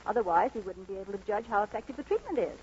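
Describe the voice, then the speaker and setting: An actress playing an unruffled, gracious doctor wife in an old radio comedy, polite and gently dry. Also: female voice, mid-range voice, animated, racing, slight background noise, close to an everyday voice. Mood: calm, sweet. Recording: muffled old radio broadcast recording.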